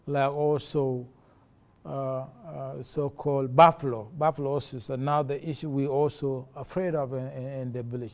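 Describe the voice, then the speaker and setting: Male interviewee, forties, South Sudanese accent; relaxed, dark timbre, halting delivery. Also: mid-range voice. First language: a South Sudanese language. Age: 40s. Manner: halting, many fillers and restarts. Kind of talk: personal testimony.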